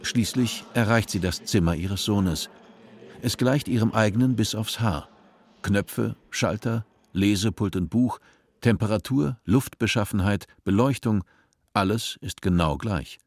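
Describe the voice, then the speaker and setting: A man narrating a radio play in a measured, sober way. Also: lowish voice, darkish tone. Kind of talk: scripted narration. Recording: dry studio sound.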